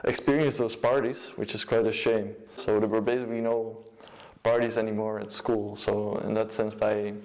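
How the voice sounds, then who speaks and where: A young man with a Dutch accent, a music producer and DJ, giving a casual, low-key account of his work. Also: flat delivery, normal speed, a little mellow, rough recording. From Netherlands.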